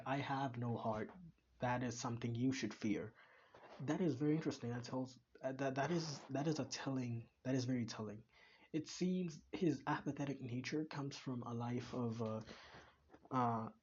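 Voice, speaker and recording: A man's voice: medium pitch, darkish tone, highs cut off, slight background noise. A man hosting a reaction video, giving casual commentary that thinks aloud, with repeats and restarts.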